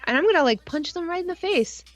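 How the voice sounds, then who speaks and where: A woman's voice: extremely high pitch, expressive, fast talker. A woman co-hosting a casual podcast stream, ranting about films with sarcastic mock outrage and plenty of swearing. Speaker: podcast co-host. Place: live online stream.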